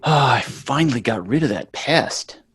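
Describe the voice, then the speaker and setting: A man's voice: medium pitch, animated, moderate pace, fairly bright tone, breathy edge, no room sound. Man playing a dry, exasperated schoolboy, closely miked. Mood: exasperated, sarcastic. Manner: dry, sarcastic, bossy. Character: exasperated, wisecracking schoolboy big brother. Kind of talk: live sitcom table read.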